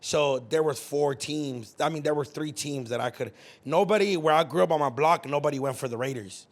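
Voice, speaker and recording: A young man from California hosting a casual podcast chat, animated and teasing, swearing freely. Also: a little high. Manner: animated, swearing, quick to tease. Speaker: podcast host.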